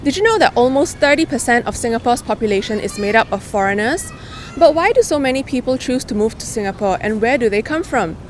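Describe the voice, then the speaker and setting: A woman hosting a street interview outdoors, asking clear, upbeat questions. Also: fairly high pitch, expressive, fast talker, a little hiss or hum.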